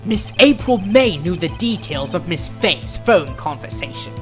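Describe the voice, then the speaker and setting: A man voicing a composed, condescending prosecutor in a courtroom game fandub, speaking formally and deliberately. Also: high voice, big pitch swings, a bit bright, faint music behind, full character voice. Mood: confident, arguing. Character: composed, haughty male prosecutor, condescending and exacting.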